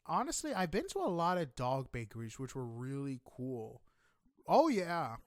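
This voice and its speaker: A man hosting a casual podcast interview, chatting informally and a little haltingly. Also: mid-range voice, big pitch swings, dry recording, studio quality.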